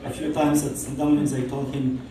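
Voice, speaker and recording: A man's voice: medium pitch, little pitch movement, fast, background noise. A priest in his forties from Poland with a Polish accent, preaching an informal, chatty homily full of anecdotes and laughs. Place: large church hall. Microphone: far camera across the church. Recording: echoey church from far off.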